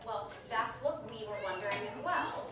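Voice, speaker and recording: A woman's voice: a little high, lively intonation, moderate pace, a crowd in the background, lightly acted. A woman playing a careful, inquisitive detective in a 1940s radio drama. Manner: questioning, careful. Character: tactful, inquisitive female detective partner. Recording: distant, echoey stage with loud audience.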